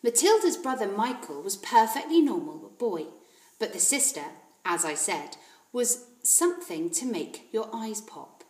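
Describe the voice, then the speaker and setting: Animated woman reading a storybook. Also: medium pitch, measured pace. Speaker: teacher reading aloud. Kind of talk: expressive children's storybook narration. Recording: roomy echo.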